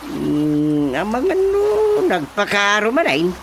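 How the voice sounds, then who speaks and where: A man's voice: high voice, huge pitch swings, takes it slowly, noisy background, exaggerated voice. An actor playing a scheming, bossy village chairman in a radio comedy drama.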